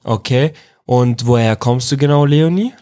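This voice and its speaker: A man's voice: mid-range voice, normal speed, warm tone, no echo. A male interviewer who grew up in Iraq asks his questions in an informal way. He often circles back and rephrases a question several ways. His voice sits in a middle register and sounds dry and close. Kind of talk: casual youth interview.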